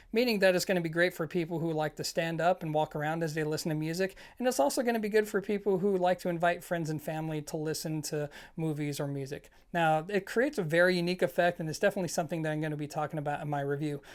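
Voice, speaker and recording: A product reviewer hosting an audio gear video. The voice sits at a middle pitch. The delivery is informal and explanatory, speaking straight to the viewers. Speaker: product reviewer and show host.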